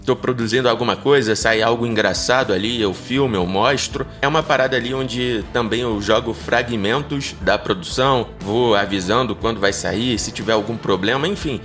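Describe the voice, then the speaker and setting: A man's voice: medium pitch, fairly bright tone. A Brazilian man hosting and narrating a horror podcast in an informal, storytelling way. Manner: informal, slangy. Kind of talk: scripted story narration and casual listener address.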